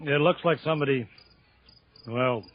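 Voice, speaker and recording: A man's voice: mid-range voice, normal speed, background noise, natural voice. An actor playing a curt, irritable policeman in a radio drama, terse and reluctant. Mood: uneasy, reluctant. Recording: muffled old radio drama recording.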